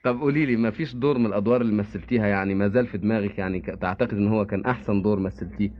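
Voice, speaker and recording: A man with an Egyptian Arabic accent, a radio interviewer asking brisk, amused questions. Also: on the low side. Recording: dull lo-fi archival radio recording.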